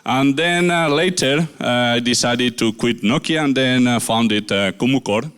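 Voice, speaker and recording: Middle-aged spanish guy at a tech conference, lots of uhs and pauses. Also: mid-range voice, fairly bright tone, clean tone. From Spain. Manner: halting, many filler pauses. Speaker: conference speaker. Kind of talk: conference talk.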